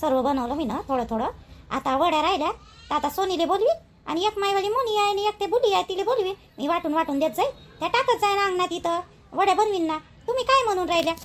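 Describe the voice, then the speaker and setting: A chatty rural housewife from a cartoon as acted by a woman, with an exceptionally high, almost childlike voice. She speaks homely Vidarbha Marathi in an expressive gossiping style, stretching out her words. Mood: nosy, insistent. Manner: colloquial, expressive, drawn-out calls.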